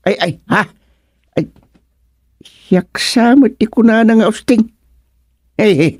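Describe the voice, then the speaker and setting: An actor playing a flustered, slow-witted village man in an Ilocano radio comedy, stammering and exclaiming in an Ilocano accent. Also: sits high, a little mellow, no room sound, studio quality. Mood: alarmed.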